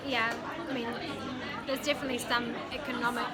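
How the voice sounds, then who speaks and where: A woman's voice: on the high side, level tone, fairly quick. A young woman, interviewed in the street, speaks hesitantly with many restarts and nervous laughs. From New Zealand. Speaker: event attendee being interviewed. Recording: loud crowd around.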